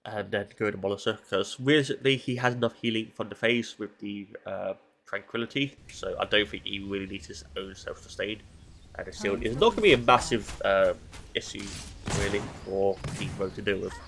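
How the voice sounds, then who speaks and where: A man's voice: on the low side, fairly quick, vocal fry, a bit bright, slight background noise. Stuffy-nosed man casting a video game. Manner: casual, analytical, thinking aloud. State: congested from hay fever. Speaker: esports caster analyzing a match. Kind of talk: solo game commentary.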